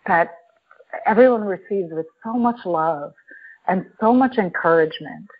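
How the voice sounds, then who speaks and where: A woman guest on a podcast telling a personal story in a reflective, halting way, with many restarts. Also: on the low side, expressive, breathy edge. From New York. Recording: tinny phone-line audio.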